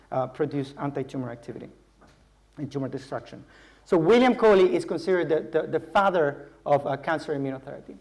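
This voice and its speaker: A man with a somewhat high voice lectures to a general audience in a university lecture theatre. He explains patiently and builds each sentence aloud, often repeating words along the way. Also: vocal fry, a little mellow. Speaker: scientist giving a public lecture.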